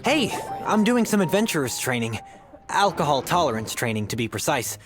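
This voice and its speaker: A boozy, bragging adventurer from a video game. He is chummy and swings his pitch around expressively, with faint music behind him. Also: big pitch swings, moderate pace. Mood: boastful, tipsy. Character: drunk, boastful male adventurer in a tavern.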